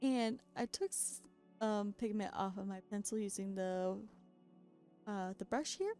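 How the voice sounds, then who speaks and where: A woman's voice: medium pitch, measured pace, dark tone, soft background music. A woman narrating her doll customizing in a casual voice-over. She is very tired after being up all night, her voice keeps cracking, and she chats along with frequent self-deprecating laughs.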